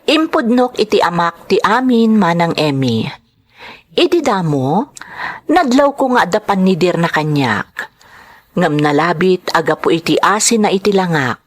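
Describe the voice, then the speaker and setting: Expressive woman narrator, lowish voice. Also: unhurried. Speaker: drama narrator. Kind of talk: scripted dramatic narration of listeners' letters in Ilocano.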